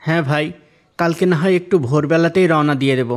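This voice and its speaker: A man voicing a poor, patient, devout and generous husband in a moral-tale cartoon, speaking softly and humbly. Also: a little high, quick pace, natural voice. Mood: weary, worried.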